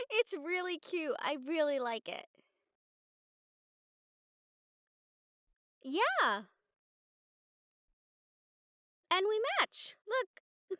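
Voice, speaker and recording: A young woman playing a bubbly, encouraging college student in a casual, chatty, expressive roleplay audio drama. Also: very high voice, dry recording, studio quality, exaggerated voice. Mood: excited, delighted. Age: young adult. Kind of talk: roleplay audio drama acting, speaking to listener.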